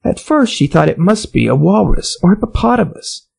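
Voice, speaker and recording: American guy reading a kids audiobook, really animated, bit of creak in his voice. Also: sits high, normal speed. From United States. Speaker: audiobook narrator. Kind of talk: children's storybook narration.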